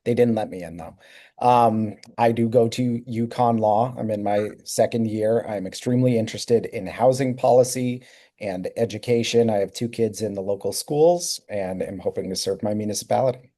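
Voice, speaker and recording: A man giving a relaxed, lightly joking self-introduction to a committee on a video call. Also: fairly low pitch, moderate pace. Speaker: board applicant.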